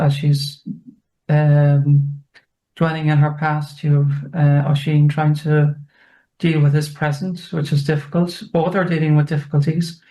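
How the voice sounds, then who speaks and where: Measured woman interviewer, flat delivery. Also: sits low, poor audio. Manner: measured, summarising before asking. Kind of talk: literary interview.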